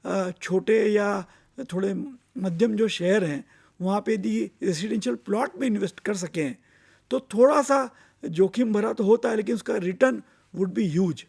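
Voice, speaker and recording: Male finance educator, Indian accent, high-set voice with creak, deliberate explanatory delivery that repeats key points. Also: darkish tone. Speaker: finance expert giving investor education. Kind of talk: explanatory lecture mixing Hindi and English.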